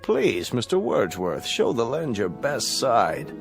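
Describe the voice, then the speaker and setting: Casting: cruel, smug totalitarian chancellor, radio play; formal, menacing courtesy, average male pitch, light music underneath. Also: huge pitch swings, slow, gravelly, heavily acted. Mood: mocking. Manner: formal, condescending, mockingly polite, menacing. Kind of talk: radio drama acting.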